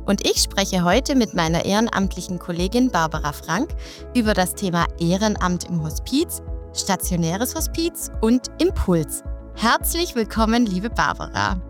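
A woman's voice: mid-range voice, very animated, normal speed, faint music behind. A woman hosting a podcast, welcoming her guest in a friendly way and asking her questions. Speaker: podcast host, educator. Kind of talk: podcast interview.

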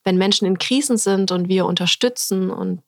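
A woman's voice: medium pitch, normal speed, dry recording, clean studio sound. A woman, a health scientist, explaining her research in a podcast interview, thoughtful with frequent hesitations.

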